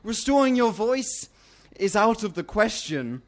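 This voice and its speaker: Storybook hero, male reader: nervous, courteous bat sorcerer, high voice, unhurried, earnest and apologetic but holding firm. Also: big pitch swings. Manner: apologetic, earnest rhymed speech. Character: timid, polite bat magician, quietly firm.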